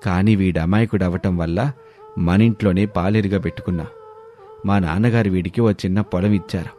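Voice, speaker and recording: Guy doing a kind village boss for a moral story, kinda low, calm master giving instructions, soft music bed. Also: fairly quick, close to an everyday voice. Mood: explaining. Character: kind, patient village landlord, authoritative master. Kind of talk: storybook voice acting.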